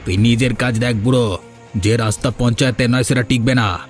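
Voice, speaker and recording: A man's voice: mid-range voice, fairly even intonation, fairly quick, natural voice. A village villain from a cartoon, acted by a man, bullying and cowardly at heart. He schemes in an adult male voice, with faint music behind him. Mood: defiant. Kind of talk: cartoon voice acting.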